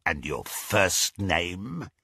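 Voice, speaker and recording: Rasping, savage werewolf villain. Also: male voice, low voice, sing-song, pushed and bright, dry recording, heavily acted. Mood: gloating. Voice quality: rasping, growling. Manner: slow, savouring, snarling. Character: savage, gloating adult male werewolf villain, leering and menacing.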